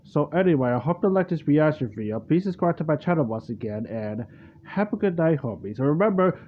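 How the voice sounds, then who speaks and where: A man's voice: on the high side, very animated, brisk. A man giving casual, off-the-cuff reaction commentary as a video host, in a rambling, repetitive, slangy way. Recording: dull lo-fi mic, faint background noise.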